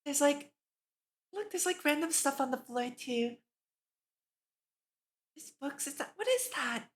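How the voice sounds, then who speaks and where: A woman livestreamer reading a visual novel aloud and chatting casually, with a giggly, expressive manner. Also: high-pitched, unhurried. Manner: giggly, expressive, exclaims in reaction to the text. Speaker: VTuber streamer hosting a visual novel read-along.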